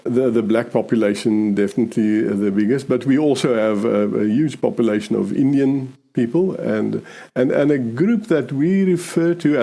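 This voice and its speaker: A man's voice: medium pitch, creaky, dark tone. An older man from South Africa with an Afrikaans accent, a police chaplain talking reflectively in an interview, often restarting his sentences. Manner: halting, many restarts and "you know".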